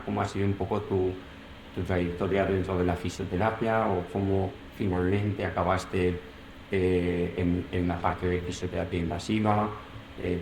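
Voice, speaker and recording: A man's voice: low-pitched, gravelly, a little mellow, reverberant, slight background noise, rough recording. A man from Spain hosting an interview podcast, asking long questions with lots of hesitant fillers. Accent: Spain Spanish. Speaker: podcast host.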